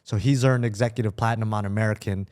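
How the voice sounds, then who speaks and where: A man in a relaxed group podcast conversation, talking casually and colloquially. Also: fairly low pitch, fast talker. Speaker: podcast participant. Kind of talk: casual chat.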